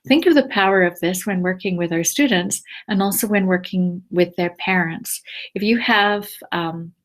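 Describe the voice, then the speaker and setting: A woman's voice: on the low side, normal speed. Patient woman teaching a screencast tutorial. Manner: patient step-by-step instruction with frequent ums. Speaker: teacher giving a tech tutorial. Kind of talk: screencast walkthrough.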